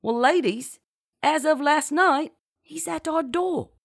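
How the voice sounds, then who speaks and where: A woman's voice: high voice, slow, no echo, studio quality, pitched above the performer's own voice. A woman voicing a cheeky, boastful young pirate's sweetheart, bold and colloquial, making grand declarations in an audiobook.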